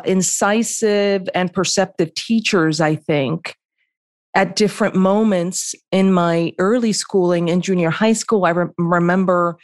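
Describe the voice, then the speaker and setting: Slow, blunt New woman. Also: fairly low pitch, darkish tone, dry recording, studio quality. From the Bronx, New York. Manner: blunt, candid, plainspoken. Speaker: guest, history professor. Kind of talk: casual interview talk. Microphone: remote call.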